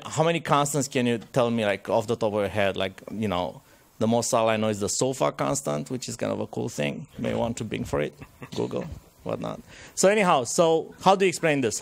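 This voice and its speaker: A hoarse man in the audience of a technical conference, asking a long, challenging question, full of fillers and restarts. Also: medium pitch, animated, brisk.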